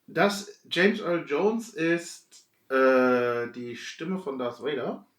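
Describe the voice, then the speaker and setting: A man's voice: fairly high pitch, lively intonation, very slow. A man, talking casually as a podcast co-host. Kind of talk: casual chat. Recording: echoey room, distant from mic.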